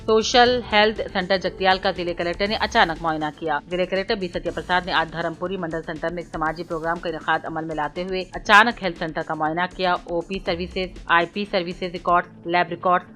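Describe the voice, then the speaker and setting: A woman reads a news bulletin in a fairly low voice. Her delivery is formal and scripted, in the manner of a news reader. Light music plays underneath. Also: a bit bright. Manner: formal, reading out items one after another. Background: background music.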